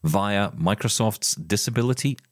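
A man's voice: on the low side, moderate pace, no room sound. A Scottish man co-hosting a tech podcast, chatty and joking with plenty of fillers. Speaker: podcast co-host. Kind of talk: casual tech podcast chat.

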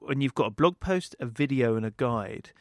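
A man's voice: medium pitch, expressive, moderate pace, no room sound, clean studio sound. A man hosting an interview, asking casual questions in a conversational way and often searching for words. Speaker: podcast host.

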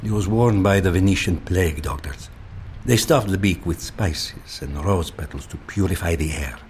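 A man playing a courteous, proud and enigmatic Venetian mask maker in an audio drama, measured, with an Italian accent. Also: low-pitched, darkish tone, a little hiss or hum, close to an everyday voice. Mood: enigmatic, calm. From Venice, Italy.

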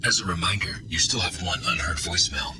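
A man playing a polite, unflappable computer assistant in an audio drama, speaking in a formal, measured way. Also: extremely high pitch, huge pitch swings, noisy background, exaggerated voice. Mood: calm, courteous. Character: polite, unflappable male AI assistant of an undersea base.

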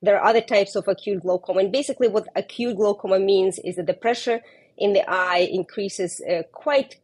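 A middle-aged woman with a Ukrainian accent, an eye doctor explaining carefully in an interview, pausing often to find her words. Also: medium pitch, darkish tone, husky. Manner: careful, explanatory, with frequent hesitations. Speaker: ophthalmologist guest.

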